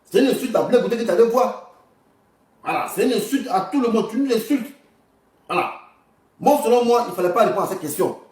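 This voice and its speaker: Higher-voiced man commentating in a roomy space. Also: expressive, brisk, long pauses, a little mellow. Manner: indignant, repetitive, addressing viewers directly. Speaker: online political commentator. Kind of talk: informal political commentary. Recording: roomy, distant mic.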